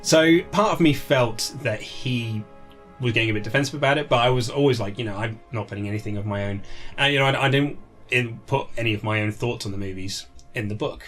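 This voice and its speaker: A man's voice: mid-range voice, a little fast, soft background music. A British man, an author being interviewed, talks casually and enthusiastically about his subject. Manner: enthusiastic, animated film-fan talk.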